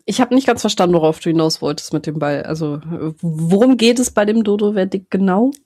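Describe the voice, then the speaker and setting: Female podcast host, slight lisp, husky edge, inquisitive. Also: medium pitch, lively intonation, brisk, dark tone. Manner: curious, asks follow-up questions. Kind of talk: casual podcast conversation.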